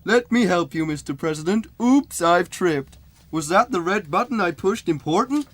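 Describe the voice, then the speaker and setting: A man's voice: high-pitched, expressive, moderate pace, fairly bright tone, no room sound. A man playing a well-meaning, clumsy football mascot bear in a comic radio sketch, playful and naive. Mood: sheepish.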